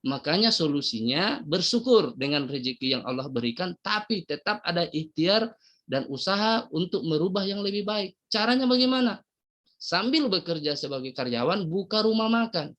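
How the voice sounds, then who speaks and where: A man's voice: high voice, lively intonation, normal speed, a bit bright, highs cut off. A middle-aged man from Medan giving a motivational business presentation, addressing the audience directly with rhetorical questions and emphatic rising bursts. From Medan, North Sumatra, Indonesia.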